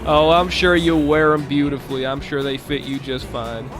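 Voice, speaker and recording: A man playing a folksy trucker in improvised CB roleplay, rambling and halting. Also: a little high, flat delivery, over music, lightly acted. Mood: folksy, animated. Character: folksy male trucker telling tall road tales.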